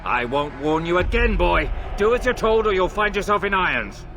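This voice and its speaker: A man's voice: sits high, animated, moderate pace, background noise. A man playing a harsh, impatient overseer in a video game, commanding and full of contempt. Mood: contemptuous, threatening. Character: harsh, impatient male overseer bullying a worker. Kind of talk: video game cutscene acting.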